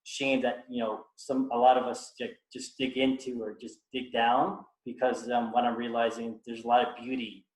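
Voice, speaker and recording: A man from the United States talks earnestly on a video call, halting and restarting as he thinks aloud. His voice sits at an average pitch. The room around him is echoey. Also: level tone. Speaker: guest speaker. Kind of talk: reflective personal talk on a video call.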